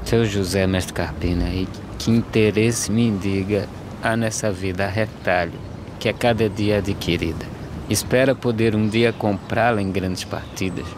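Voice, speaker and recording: A Brazilian actor playing a gaunt, despairing drought migrant in an animated verse drama, solemnly declaiming poetic questions. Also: male voice, lowish voice, normal speed, close to an everyday voice. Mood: weary, searching. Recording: outdoor ambience noise behind the voice.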